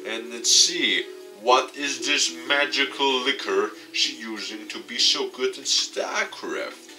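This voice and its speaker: A man reading a visual novel game aloud with commentary for his video, in a halting, stumbling delivery. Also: medium pitch, recorded from a distance, poor audio. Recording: off-mic, music behind.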